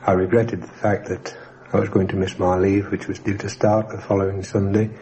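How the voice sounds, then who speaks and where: A middle-aged man gives a measured, matter-of-fact first-hand account of wartime in precise words, as a former air gunner in a documentary. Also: deep voice, little pitch movement, warm tone, highs cut off. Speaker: former RAF rear gunner. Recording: faint noise on old radio recording.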